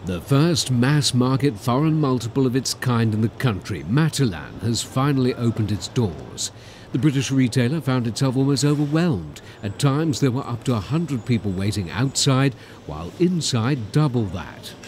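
Man, reporter, animated voice-over, faint background noise. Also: mid-range voice, moderate pace. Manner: lively scripted narration.

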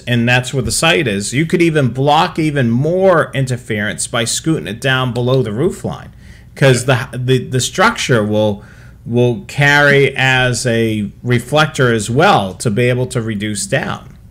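A man's voice: mid-range voice, measured pace, a bit bright. A middle-aged man with an American accent, co-hosting a livestream and explaining things casually from a home setup. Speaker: co-host of a police scanner show. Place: small home room with equipment, indoors.

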